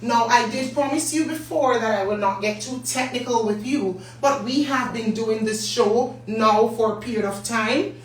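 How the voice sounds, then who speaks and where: A hoarse middle-aged woman with a Jamaican accent hosts a business talk live stream, chatting and greeting viewers by name. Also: fairly high pitch, moderate pace. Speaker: business talk show host. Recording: echoey room, distant mic, faint hum.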